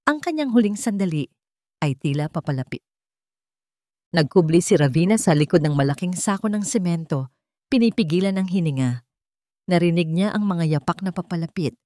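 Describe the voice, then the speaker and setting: Female storyteller, lowish voice, very animated delivery, suspenseful and theatrical dramatic narration. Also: normal speed, pauses often, dry recording, clean studio sound.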